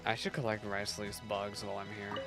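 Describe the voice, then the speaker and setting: A woman's voice: very deep voice, flat delivery, fairly quick. A woman chatting informally and a little drowsily as she reacts to a video game, with game music behind her. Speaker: gamer reacting to a playthrough. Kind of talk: casual chat, gameplay reaction.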